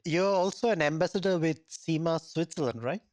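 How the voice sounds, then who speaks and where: A man's voice: a little high, brisk, darkish tone. A man hosting a podcast, asking casual interview questions and laughing along with his guest. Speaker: podcast host.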